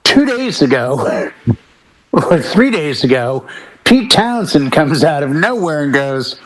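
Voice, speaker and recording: This man speaks in a slightly high register as a panelist in a casual discussion. He is emphatic and opinionated, pressing each point insistently. His stories come with quoted lines acted out along the way. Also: sing-song, slow, a bit bright, low-quality recording. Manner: acts out imagined quotes as he tells stories. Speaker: podcast panelist.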